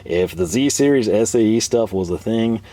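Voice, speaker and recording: A man hosting a tool video in his workshop, chatting casually and rambling with fillers, his voice a bit hoarse from a cold. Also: on the low side, animated, moderate pace, mellow, a little hiss or hum. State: slightly hoarse, losing his voice. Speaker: tool channel host. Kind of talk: casual show-and-tell talk. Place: home workshop.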